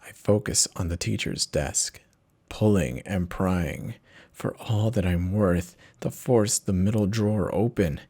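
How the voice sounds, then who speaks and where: Low-pitched man narrating horror, slow and dramatic. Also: a little mellow. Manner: theatrical, measured, intense delivery. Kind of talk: dramatic first-person horror reading.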